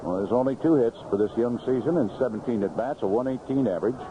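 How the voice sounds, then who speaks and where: Animated American baseball radio announcer, noisy vintage broadcast. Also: male voice, mid-range voice, quick pace, lo-fi sound. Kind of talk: live radio sports commentary, 1970s. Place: outdoor ballpark broadcast booth.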